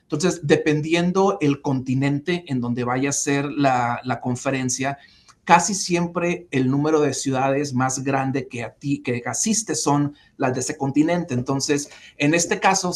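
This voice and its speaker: A man from Mexico in his forties, giving long, measured interview answers full of fillers and restarts. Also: medium pitch. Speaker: interview guest.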